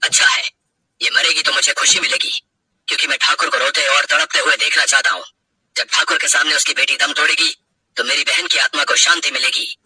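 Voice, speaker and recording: A man's voice: fairly high pitch, fairly even intonation, a little fast, sharp tone, husky, no echo, heavily acted, poor audio. A man playing a bitter, menacing bandit in a dramatic story. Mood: vengeful, menacing. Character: vengeful male bandit, bitter, menacing, later remorseful. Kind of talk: dramatic Hindi audio story acting.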